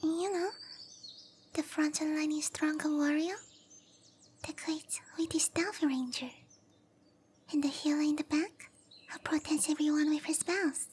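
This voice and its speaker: Casting: petite fantasy cowgirl for roleplay ASMR. Extremely high voice, unhurried, bashful, soft-spoken, longing for adventure. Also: steady tone, a little hiss or hum. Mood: worried, earnest. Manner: hesitant, apologetic, soft-spoken with breathy delivery. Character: tiny, shy young cowgirl monster girl yearning for adventure. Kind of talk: roleplay ASMR, anime-style character acting.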